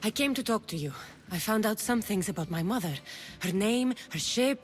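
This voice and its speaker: An actress voicing a fierce, defiant Greek mercenary heroine in a video game, speaking firmly and intensely. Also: female voice, medium pitch, expressive, normal speed, slight background noise, close to an everyday voice. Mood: earnest. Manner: firm, intense, determined. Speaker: game protagonist. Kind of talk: video game cutscene acting.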